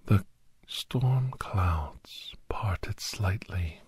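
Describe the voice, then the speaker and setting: A man narrating a suspenseful first-person ASMR story in a hushed, half-whispered voice with dramatic pauses. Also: deep voice, breathy edge. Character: doctor recounting a monster-hunting adventure, grave and awed.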